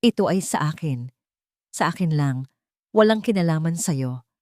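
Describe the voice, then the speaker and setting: A woman's voice: low-pitched, animated, normal speed, a little mellow, dry recording, clean studio sound. A woman voicing a bitter, hurt pregnant woman who speaks in a hard, accusing way in an audio drama. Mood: bitter, cold. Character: bitter, wounded pregnant woman confronting her ex-lover.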